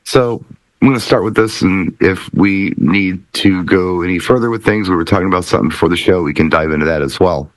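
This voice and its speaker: A man's voice: low voice, brisk, no room sound, low-quality recording. A man hosting a casual panel chat among DJs, posing questions to the group. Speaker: host of a panel show for DJs.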